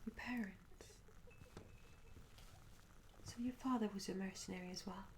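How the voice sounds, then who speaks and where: A woman voicing a shy, curious young medieval tavern maid in a soft-spoken, half-whispered ASMR roleplay, gentle and hesitant with formal old-fashioned wording. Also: mid-range voice, quick pace, a little hiss or hum, lightly acted. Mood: curious, polite. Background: tavern ambience sounds.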